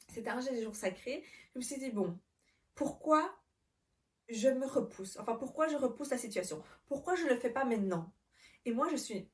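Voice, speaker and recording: A woman's voice: high voice, a little mellow, recorded from a distance. A Belgian-born woman in her twenties telling her personal story to camera in an earnest, reflective way, with frequent fillers and restarts. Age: 20s. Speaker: vlogger.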